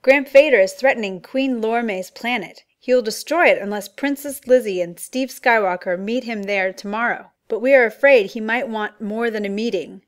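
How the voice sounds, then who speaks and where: A woman playing a wise, calm male mentor in a family radio play, measured, reassuring and formal. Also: fairly high pitch, natural voice. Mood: grave.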